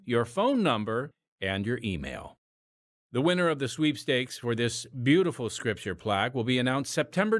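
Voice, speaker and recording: A man's voice: medium pitch, a bit bright, dry recording, studio quality. A man reading scripted narration and announcements as the host of an audio drama podcast.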